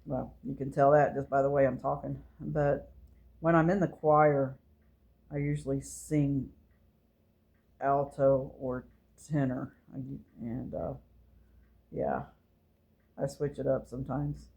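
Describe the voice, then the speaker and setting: A woman, who reminisces casually and gently, meandering along as she talks to her viewers. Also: little pitch movement, gravelly. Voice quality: low voice. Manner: slow, meandering and gentle, with fillers. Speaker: channel host.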